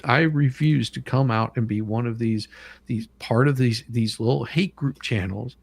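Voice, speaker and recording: A man hosting a casual livestream, talking in a halting, repetitive and emphatic way. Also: mid-range voice, creaky, husky, no echo. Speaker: livestream host with own channel.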